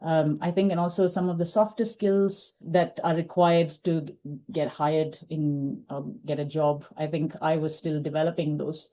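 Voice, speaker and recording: A woman's voice: sits low, level tone, normal speed, mellow, poor audio. A middle-aged woman whose first language is Tamil, a podcast guest thoughtfully telling her own career story, with frequent fillers and restarts. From India. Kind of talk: reflective interview storytelling.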